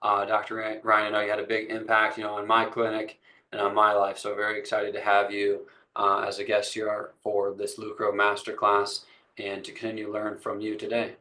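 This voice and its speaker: A man's voice: fairly low pitch, monotone, a little fast, bright, forceful tone, low-quality recording. A man hosting a webinar, introducing his guest with enthusiasm and a lot of repetition, speaking over a video call. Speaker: webinar host.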